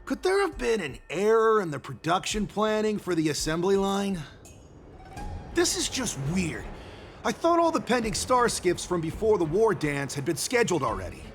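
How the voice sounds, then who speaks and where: A man's voice: sits high, very animated, soft background music. An actor playing a busy, distracted craftsman at a shipyard, in a game cutscene. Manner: brisk, distracted. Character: busy, fussy dockyard craftsman. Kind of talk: video game cutscene voice acting.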